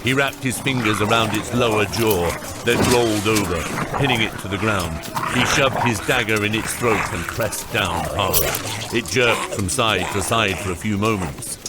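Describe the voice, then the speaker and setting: A man narrates epic fantasy in a low voice. His storytelling is measured and dramatic, scripted audiobook style, with prominent music playing behind him.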